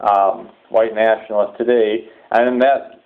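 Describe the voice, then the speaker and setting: A man delivering a sermon-like public address in halting, deliberate phrases, repeating words for emphasis. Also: on the low side, fairly even intonation. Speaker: preacher giving a public address. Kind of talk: sermon-like extremist political address. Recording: muffled, thin phone-like audio.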